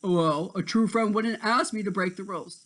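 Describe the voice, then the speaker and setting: A man's voice: high-pitched, normal speed, fairly bright tone, rough recording. A man voicing a helpful, well-meaning young male fairy friend in a children's storybook, earnest and obliging. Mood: questioning.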